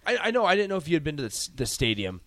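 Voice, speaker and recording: Lively, animated male radio co-host. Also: on the high side, very fast, no room sound. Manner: animated, chatty, stammering restarts. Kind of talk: casual sports radio chat.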